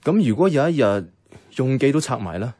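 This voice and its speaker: A man acting a reflective narrator in a Cantonese radio drama, with a Hong Kong accent and measured storytelling. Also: mid-range voice, sing-song, darkish tone, no room sound, clean studio sound. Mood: curious, gentle. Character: reflective male narrator recalling a quietly troubled woman friend. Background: guitar music.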